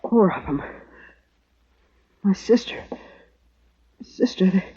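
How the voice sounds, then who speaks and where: An actor playing a wounded, terrified homesteader in a 1950s radio drama, gasping weakly in halting, broken phrases. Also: medium pitch, expressive, dark tone, in a whisper. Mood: weak, traumatized. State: weak, gasping, distressed. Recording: muffled old radio broadcast.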